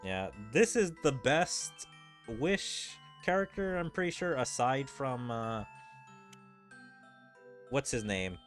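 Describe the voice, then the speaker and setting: A man's voice: medium pitch, very animated, slow pace, pauses often. Casual male gaming streamer over music. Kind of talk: casual game commentary. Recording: game music behind the voice. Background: video game music.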